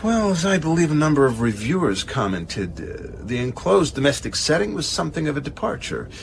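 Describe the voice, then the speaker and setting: Pedantic, measured gentleman, faint ambience. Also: on the high side, sing-song, gravelly, a little hiss or hum. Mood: musing, aloof. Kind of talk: radio drama dialogue.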